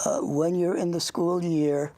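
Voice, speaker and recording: An older interviewer with a gravelly, husky voice, chatting informally and haltingly with a young guest, often rambling into anecdotes. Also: medium pitch, fairly even intonation, warm tone. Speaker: interviewer, show host.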